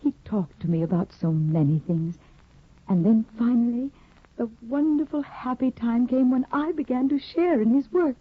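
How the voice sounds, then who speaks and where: A woman's voice: fairly high pitch, moderate pace, husky. A woman playing a timid, devoted young woman in a 1950s radio drama, earnest and anxious. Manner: earnest and anxious, soft-spoken. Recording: tinny old radio broadcast.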